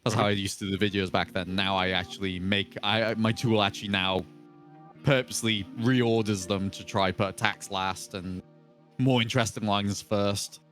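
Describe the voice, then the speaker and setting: A man talking casually on a podcast in a halting, conversational storytelling way. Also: fairly low pitch, steady tone, a bit bright, soft background music. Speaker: podcast panelist. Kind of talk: casual podcast anecdote and chat.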